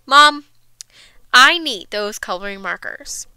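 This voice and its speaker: Lady doing a pleading little kid from a storybook, high pitched, super bright, begging mommy for stuff. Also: expressive, slow, smooth, dry recording, exaggerated voice. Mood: pleading, insistent. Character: eager, wheedling little girl pleading with her mom. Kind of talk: acted children's storybook dialogue.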